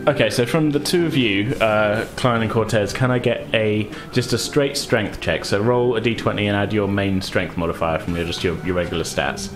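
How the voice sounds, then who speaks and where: Male game master, lowish pitch, chatty and amused casual narration, music underneath. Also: fairly even intonation, normal speed, hardly any pauses. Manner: conversational, amused, hedging.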